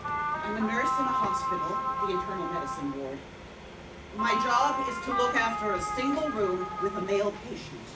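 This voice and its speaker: Actress as halting, haunted woman, roomy and distant. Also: medium pitch, animated, lightly acted. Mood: reflective, recounting. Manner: halting, with repeated words. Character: haunted, hesitant woman, former nurse, writing her memories. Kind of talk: staged play reading, reflective monologue. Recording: roomy, distant mic, music behind.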